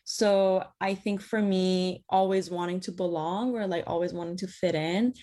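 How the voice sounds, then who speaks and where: Woman from Iran, guest in an interview, lowish voice, halting delivery full of ums. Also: steady tone, mellow. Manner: hesitant, many fillers and restarts. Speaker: theatre artist, interview guest. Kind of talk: casual interview talk.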